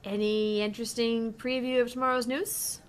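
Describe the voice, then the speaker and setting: A woman's voice: fairly high pitch, level tone, normal speed, smooth. A woman co-hosting a gaming show, reading a visual novel aloud and commenting casually.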